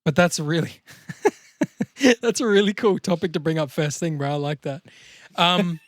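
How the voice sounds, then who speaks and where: A man's voice: on the high side, expressive, moderate pace, no room sound, studio quality. A man chatting casually as a podcast co-host, informal and bantering with plenty of slang.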